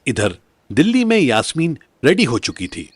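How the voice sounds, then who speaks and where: A man's voice: medium pitch, huge pitch swings, normal speed, husky, no room sound. A man narrating a scripted audio drama story as its narrator.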